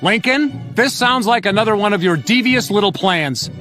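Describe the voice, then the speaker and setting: A man's voice: high voice, animated, normal speed, pushed and bright. An actor voicing a fussy, flustered cartoon dad who runs a restaurant, anxious and hesitant in his speech. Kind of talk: cartoon voice acting. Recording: cartoon clip with faint music.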